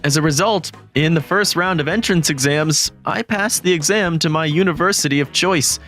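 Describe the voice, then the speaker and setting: Guy doing an earnest lovestruck high school boy telling his manga story, measured, bit of music behind. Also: a bit bright, natural voice. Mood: earnest, reflective. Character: lovestruck, anxious, earnest teenage male high school student narrator. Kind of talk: scripted first-person manga story narration. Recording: background music under the voice.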